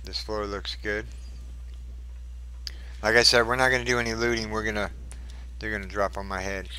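Gaming host, male, crisp bright delivery, talks to himself mid-fight. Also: on the low side, level tone, normal speed, slight background noise, rough recording. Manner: chatty, mutters to himself while fighting. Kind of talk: casual gameplay commentary.

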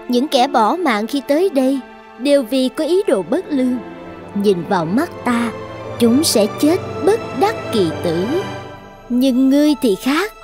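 An actress voicing a regal, calm female spirit, stern but kind, in a cartoon. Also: high voice. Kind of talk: animated cartoon dubbing. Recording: music behind the voice.

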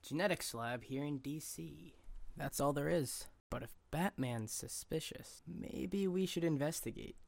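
A man's voice: on the high side, unhurried, creaky, no echo. A man playing a cocky, impatient teenage boy superhero sidekick in a cartoon fandub, with snarky, quippy delivery. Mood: sly, curious.

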